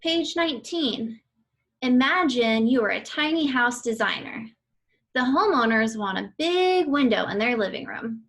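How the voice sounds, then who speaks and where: A woman reading aloud an educational book for children in a deliberate, instructional way. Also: a little high, animated, fairly bright tone. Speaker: read-aloud reader for children.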